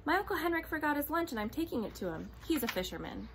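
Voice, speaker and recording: A woman voicing a frightened young girl who acts silly and defiant, her voice high and strained. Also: big pitch swings, fast talker, vocal fry, heavily acted. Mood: chattering. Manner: high, breathless, pleading then defiant.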